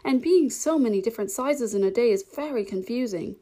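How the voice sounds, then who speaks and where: A woman's voice: fairly high pitch, expressive, a little fast, dark tone. A woman voicing a storybook little girl who is polite, puzzled and a little hesitant. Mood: shy, polite. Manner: polite, shy, hesitant, wordy.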